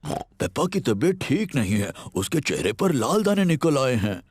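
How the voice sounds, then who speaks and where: A man's voice: medium pitch, big pitch swings, moderate pace, a little mellow, husky, no room sound, clean studio sound. A man voicing a caring, playful father pig in a children's cartoon dub. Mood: concerned.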